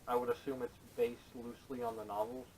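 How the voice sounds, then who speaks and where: A man's voice: medium pitch, little pitch movement, a little fast, a little mellow. Opinionated guy chatting on a podcast, kinda far from mic, slight room noise. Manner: blunt, opinionated, casual swearing. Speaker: podcast panelist. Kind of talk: casual opinionated chat. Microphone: camera microphone at a distance. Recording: distant camera microphone, faint noise.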